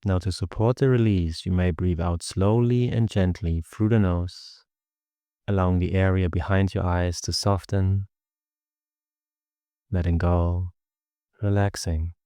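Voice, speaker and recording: Guy guiding a meditation, deep voice, calm and measured, really dry close sound. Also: clean tone, no room sound. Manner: calm, measured, instructional delivery with pauses. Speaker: meditation teacher. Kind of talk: guided meditation.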